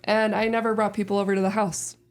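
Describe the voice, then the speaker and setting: A woman in her thirties with a deep voice, chatting casually and rambling a little as a podcast guest. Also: fast. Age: 30s. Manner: relaxed, rambling, many fillers. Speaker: podcast guest, host's sister. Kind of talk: casual family chat.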